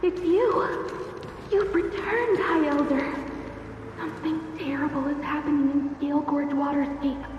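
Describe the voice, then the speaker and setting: A woman's voice: high-pitched, steady tone, unhurried, background noise. A woman acting an earnest young apparition in a dramatic fantasy game. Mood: urgent pleading. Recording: echoey hall, muffled, music behind.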